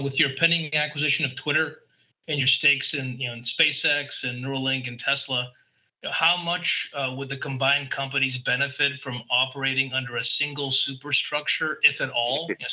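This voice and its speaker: A man's voice: medium pitch, fairly even intonation, fairly quick, sharp tone, rough recording. A man calling in as an analyst, politely putting prepared questions to company executives on a conference call.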